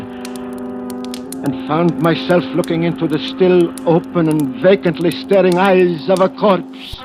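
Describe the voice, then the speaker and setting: An actor playing a nervous, secretive, obsessed treasure hunter in an old radio thriller, speaking haltingly and dramatically. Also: male voice, fairly high pitch, lightly acted, poor audio. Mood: frantic. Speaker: actor narrating and playing the lead. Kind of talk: 1940s-50s radio thriller acting, first-person narration. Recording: old radio recording with music.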